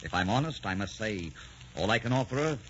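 An actor playing a tormented, brooding poet in love, anguished and halting in a melodramatic 1940s radio style. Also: male voice, fairly low pitch, lively intonation, a bit bright, slight background noise. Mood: troubled. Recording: muffled 1940s radio recording with music.

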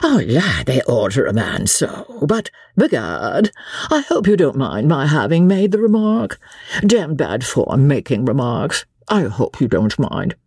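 A woman voicing a foppish, good-humoured English gentleman who plays the fool, dithering and chuckling in an affected drawl, for an audiobook. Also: a bass voice, big pitch swings, a little slow, breathy edge, no room sound, clean studio sound, heavily acted. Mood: apologetic, blithe. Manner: affected drawl, apologetic chuckling and dithering, old-fashioned exclamations. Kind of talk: dramatised audiobook character voice.

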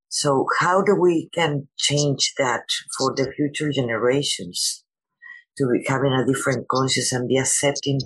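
A woman with a non-native English accent hosting a conversational interview, halting and rephrasing as she goes. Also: very deep voice, breathy edge, low-quality recording. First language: not English. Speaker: host, interviewer.

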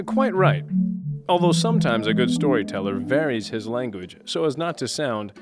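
A man's voice: medium pitch, big pitch swings, moderate pace, over loud music, close to an everyday voice. A man playing an earnest, self-important heroic young man with a formal, declamatory manner in a comic audio drama. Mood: solemn, self-important. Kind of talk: audio drama comedy acting.

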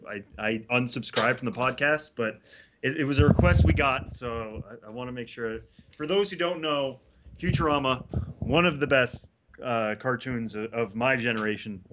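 A man at a middle pitch on a casual podcast. He hesitates, restarts his sentences and plays himself down as he stalls. His delivery is relaxed and conversational. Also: moderate pace, background noise. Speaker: podcast co-host.

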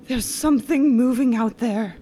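A woman's voice: fairly high pitch, normal speed, mellow, slight background noise, lightly acted. A woman playing a bold, unflappable murder suspect, speaking coolly and assertively in 1930s radio suspense style. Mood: dismissive.

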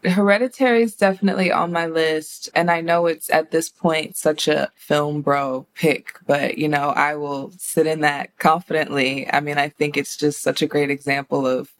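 A woman's voice: low-pitched, fairly even intonation, normal speed, hardly any pauses. An American woman speaking as an interview guest, reflective and conversational, thoughtful in how she phrases things. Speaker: interview guest, horror writer and director.

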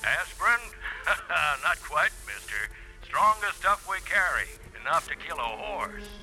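An actor in a 1950s radio drama playing a wry store clerk with an emphatic, folksy delivery. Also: male voice, a little high, very animated, unhurried, bright, forceful tone, exaggerated voice, rough recording. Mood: amused. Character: wry, salty store clerk boasting about his strongest goods. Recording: 1950s radio broadcast, faint music behind.

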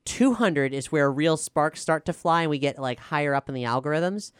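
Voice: medium pitch, normal speed, clear, resonant tone, no room sound, clean studio sound. A podcast host talking casually and earnestly in an articulate way during a Q&A discussion.

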